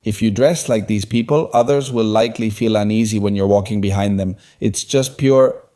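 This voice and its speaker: Mellow-voiced opinionated man hosting. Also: lowish voice, normal speed. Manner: opinionated, argues in long runs. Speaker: podcast host. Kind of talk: conversational interview.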